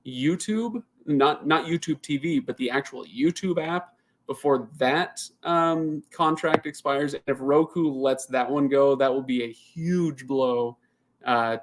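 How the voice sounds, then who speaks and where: A man in his thirties hosts a relaxed live Q&A at a middling pitch. He chats and thinks out loud as he answers viewer comments, and he often stops and starts his sentences over. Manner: rambling, restarts sentences often. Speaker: channel host. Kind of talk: casual live Q&A.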